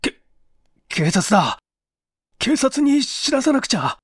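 A man's voice: extremely high pitch, very animated, slow, breathy edge, dry recording, studio quality, voice pushed up, full character voice. A man voicing a frightened, flustered witness with stammering, breathless exclamations in a mystery audiobook. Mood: shocked, panicked. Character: frightened, flustered man who found a corpse. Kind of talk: dramatized mystery audiobook reading.

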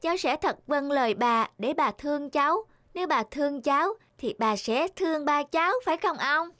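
A woman playing a plucky, inquisitive, mischievous little granddaughter in an animated film, polite but insistent. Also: high voice, moderate pace, no echo. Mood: earnest, curious. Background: music.

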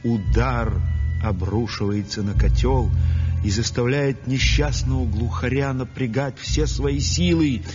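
An actor playing a brooding, exhausted painter in a 1960s radio drama monologue, grave, introspective and intense. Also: male voice, mid-range voice, measured pace, music in the background, lightly acted. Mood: intense, anguished. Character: brooding, tormented, exhausted male realist painter. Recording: muffled 1960s radio recording.

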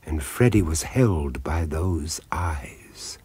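An actor narrating a radio horror drama as a grave, ominous storyteller, with deliberate, suspenseful pauses. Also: male voice, a bass voice, expressive, warm tone, husky, no room sound, full character voice. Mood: ominous, hushed.

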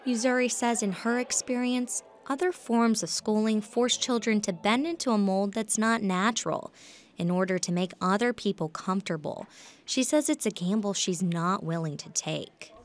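A woman news reporter reading a scripted report in a measured tone. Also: mid-range voice, slight background noise.

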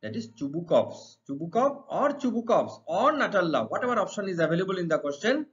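Indian-accented male teacher, swooping lively pitch. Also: brisk. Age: middle-aged. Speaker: English teacher. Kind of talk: exam lesson, reading questions and explaining answers. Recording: dull lo-fi recording.

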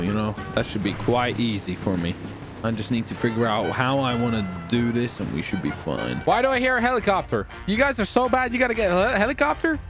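A man hosting a gaming video, giving excitable roleplay gameplay commentary, talking to himself, swearing and breaking into shouts. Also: mid-range voice. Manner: excitable, swearing, shouting outbursts, self-talk.

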